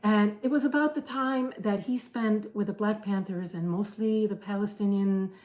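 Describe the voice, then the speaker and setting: A middle-aged woman whose first language is German, telling stories in a relaxed chat with many fillers and restarts. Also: mid-range voice, normal speed. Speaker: podcast co-host. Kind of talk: casual conversational storytelling.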